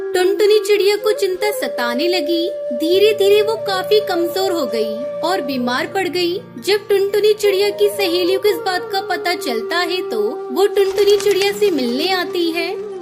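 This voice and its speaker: A woman's voice: very high voice, expressive, a little slow, over music. A woman giving scripted narration for a children's cartoon story.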